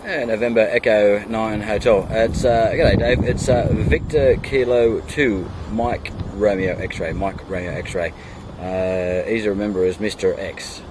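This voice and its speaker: Low-voiced Australian man on amateur radio. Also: a little slow. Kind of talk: casual radio chat with spelled callsigns. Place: outdoors in a kayak on a creek. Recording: outdoor recording with steady background noise.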